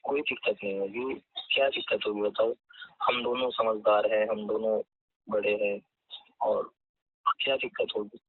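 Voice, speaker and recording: Guy acting as pushy creepy landlord on a call, insistent, pretty flat pitch, dry muffled phone sound. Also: moderate pace, long pauses, no echo. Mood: coaxing, insinuating. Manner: insistent, hectoring then persuasive. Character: pushy lecherous male landlord, irritated then coaxing. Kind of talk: staged phone call drama.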